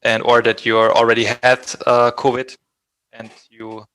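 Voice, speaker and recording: A man's voice: mid-range voice, monotone, normal speed, a bit bright. A man with a German accent, a native German speaker chatting casually as an interview guest and sometimes searching for English words. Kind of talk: casual chat.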